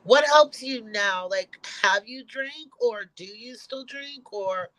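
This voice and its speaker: A woman hosting a casual interview, encouraging her guest in a relaxed, plain-spoken way. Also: on the high side, slow, low-quality recording. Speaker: podcast host.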